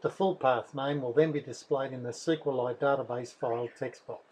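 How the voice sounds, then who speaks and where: A man, reading a scripted software tutorial in a methodical, instructional way. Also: mid-range voice, fairly even intonation, moderate pace, slight background noise, poor audio. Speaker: software developer presenting a tutorial.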